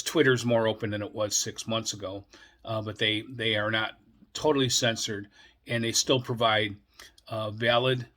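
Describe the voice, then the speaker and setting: An American man presenting as a radio enthusiast in an unscripted monologue, explaining things in a halting, deliberate and rambling way. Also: on the low side, a bit bright.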